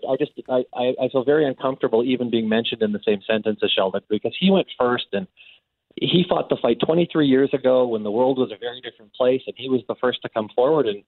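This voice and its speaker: A Canadian man answering as a radio interview guest, speaking earnestly and haltingly, often repeating his words. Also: medium pitch, no room sound, narrow, tinny audio. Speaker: interview guest, abuse survivor and advocate.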